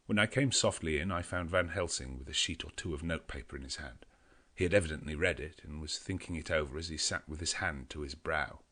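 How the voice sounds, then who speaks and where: A man narrating an audiobook in a measured, grave storytelling manner. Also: a bass voice, a bit bright. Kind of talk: scripted narration.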